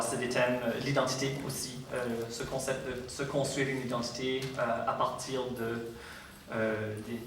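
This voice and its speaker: An Acadian man, an author, lecturing and reading his own work aloud in a measured, unhurried voice. His voice sits mid-range. It is picked up from a distance in a big, heavily echoing hall with a faint hum in the background. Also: mid-range voice. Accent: Acadian French. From Acadia, Canada. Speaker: author giving a public talk. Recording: very echoey hall, distant mic.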